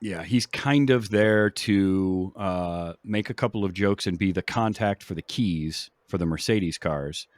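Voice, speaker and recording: A man hosting a casual film discussion in a conversational, measured, agreeable way. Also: low voice, dry recording. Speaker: film podcast host.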